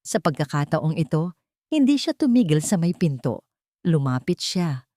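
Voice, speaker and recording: A woman reading a scripted romantic drama story in a measured, dramatic storytelling manner. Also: low voice, big pitch swings, mellow, dry recording, clean studio sound. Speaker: narrator.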